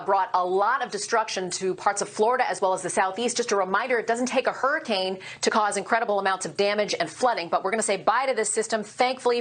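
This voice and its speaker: Woman weather presenter, brisk and chatty, morning TV forecast. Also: medium pitch, fairly bright tone. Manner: brisk, conversational.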